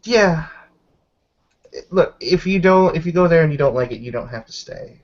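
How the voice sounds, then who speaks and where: A man's voice: fairly high pitch, expressive, normal speed, pitched above the performer's own voice. A man voicing a polite, considerate woman who explains things apologetically in a tabletop roleplaying game. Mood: apologetic. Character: polite, considerate woman hosting two newlyweds. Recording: dull muffled lo-fi audio.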